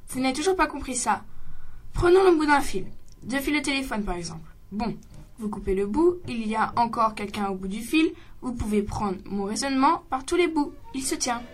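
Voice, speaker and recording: A pedantic stage artist, exasperated and lecturing the audience in a comic radio monologue, played by a woman. The voice is high. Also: lively intonation, normal speed.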